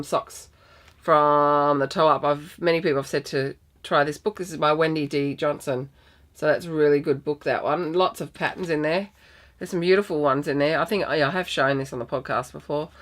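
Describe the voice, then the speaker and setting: Australian woman, slightly low voice, croaky, rambling casual chat, podcast host at home. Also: a bit bright. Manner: chatty, rambling with many ums. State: croaky from a sinus infection.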